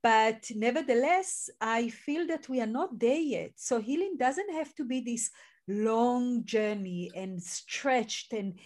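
A woman hosting an interview, talking in a relaxed, hesitant way with restarts. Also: on the high side, slow pace. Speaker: interviewer, healer. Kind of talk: casual interview talk.

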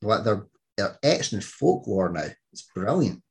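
A man's voice: on the low side, very animated, a little slow, vocal fry, a little mellow, breathy edge. A man hosting a podcast in casual, enthusiastic chat, joking and swearing freely. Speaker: podcast host.

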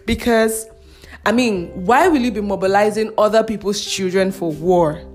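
A woman's voice: mid-range voice, moderate pace, soft background music. A young Nigerian woman with a Nigerian accent, hosting a casual podcast and chatting through the news with frequent laughs and mocking asides. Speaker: podcast host.

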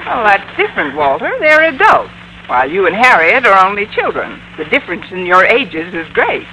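A woman's voice: mid-range voice, big pitch swings, moderate pace, bright, forceful tone. An actress playing a sardonic, wisecracking woman schoolteacher in an old-time radio comedy, with dry delivery. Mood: dry, wry. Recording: noisy vintage radio recording.

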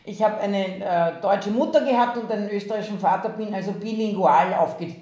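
A woman's voice: medium pitch, moderate pace, mellow, roomy sound. A woman from Austria with an Austrian accent, a university president talking in an interview, thoughtful and hesitant, with frequent fillers. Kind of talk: interview over video call. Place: remote video call.

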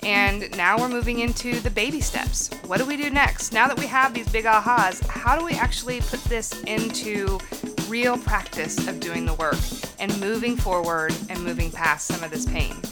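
A woman hosting a podcast who gives a brisk, upbeat introduction to the episode. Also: medium pitch, loud music behind the voice. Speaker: podcast host. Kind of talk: show introduction.

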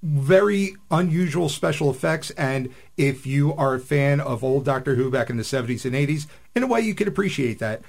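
A man's voice: mid-range voice, lively intonation, fairly quick, creaky, few pauses, darkish tone. A man giving casual commentary as a panelist on a radio discussion. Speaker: science fiction podcast panelist.

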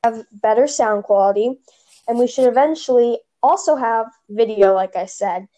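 A woman's voice: a little high, moderate pace, warm tone. A woman hosting a casual podcast over a phone, chatty and rambling, with a slight lisp. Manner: chatty, rambling, restarts often. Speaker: podcast host.

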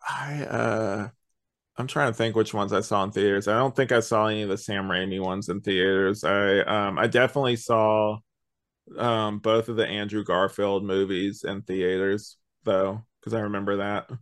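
Low-pitched man chatting casually. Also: steady tone, moderate pace, a bit bright. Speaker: podcast co-host reviewing an audio drama. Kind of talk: casual chat.

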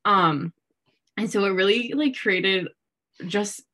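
A woman's voice: mid-range voice, slow, sharp tone. A woman in her early twenties with an American accent, telling personal stories in a casual, chatty way with frequent "like.". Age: early 20s. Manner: chatty, heavy use of "like". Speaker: interview guest. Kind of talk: casual chat, personal storytelling.

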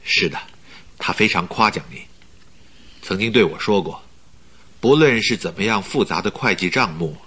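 An actor in a Mandarin radio drama playing a polite, flattering company recruiter who talks in a measured, persuasive way. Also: male voice, on the low side, breathy edge, exaggerated voice. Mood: courteous, flattering. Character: smooth-talking male company recruiter, flattering, persuasive schemer.

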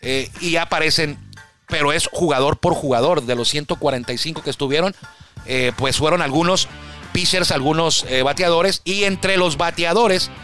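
A man's voice: fairly high pitch, fairly bright tone. A man hosting a baseball talk show, chatting casually and correcting himself as he rambles through statistics. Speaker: baseball stats radio host.

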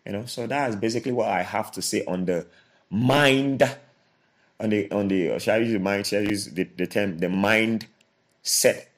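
A man with a fairly low voice talks on a podcast about leading yourself. He is earnest and repeats himself, often stopping and restarting a phrase. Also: moderate pace. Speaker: co-host. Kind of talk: motivational podcast talk.

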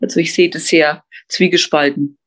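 A woman's voice: sits low, fairly even intonation, moderate pace, slightly rough. A woman giving her opinion informally in an interview, tentative and thinking aloud as she goes. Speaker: interview guest.

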